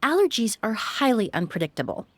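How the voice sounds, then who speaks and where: Casting: courteous, composed young perfumer for a video game, fairly high voice, precise formal manner. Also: female voice, very animated, dry recording. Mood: composed, curious. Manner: polite, measured, formal phrasing.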